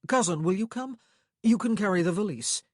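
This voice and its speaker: A steady young man from a storybook, acted by a male reader, protective and plain-spoken. He talks firmly and directly in a husky voice, recorded close and dry. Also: high-pitched, fairly quick, no room sound, voice pushed up. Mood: guarded, firm. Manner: firm, reassuring, direct. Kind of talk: audiobook character dialogue.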